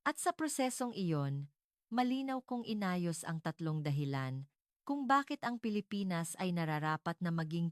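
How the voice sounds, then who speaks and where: A woman reading a scripted dramatic narration in a measured, earnest storytelling manner. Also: fairly low pitch, clean tone, no echo, studio quality.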